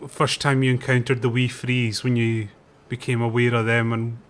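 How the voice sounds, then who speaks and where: A man's voice: mid-range voice, level tone, normal speed, a bit bright. A man asking loose, casual interview questions with plenty of fillers.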